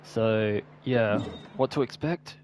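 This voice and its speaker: A man's voice: lowish voice, slow pace, darkish tone, slight background noise, poor audio. A man giving casual, unscripted gameplay commentary in an offhand, rambling way. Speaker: gaming video host.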